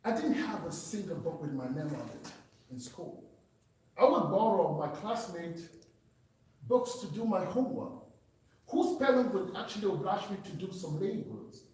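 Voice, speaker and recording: A man's voice: sits high, lively intonation, moderate pace, a little mellow. A man from Cameroon, giving an earnest, emphatic personal testimony speech at a meeting. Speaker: public speaker. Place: meeting room. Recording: echoey hall, distant mic.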